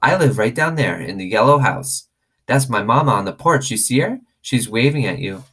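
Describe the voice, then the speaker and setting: Man as little country girl, storybook child, raised pitch, eager, wide-eyed, husky. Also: lively intonation, moderate pace, a bit bright. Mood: eager, awed.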